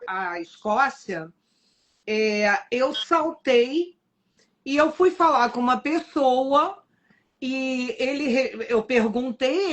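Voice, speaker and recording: A woman's voice: high voice, fairly bright tone. A woman with a Brazilian Portuguese accent hosting a live stream, chatty and telling personal anecdotes. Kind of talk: casual live-stream conversation.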